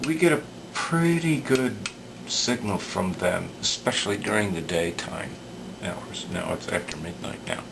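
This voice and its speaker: A man with a low, creaky voice talks through a repair at his workbench. He speaks slowly and haltingly, thinking out loud. There is clear noise behind him. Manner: hesitant, halting, thinking aloud. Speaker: radio repair hobbyist demonstrating work. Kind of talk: unscripted workbench commentary.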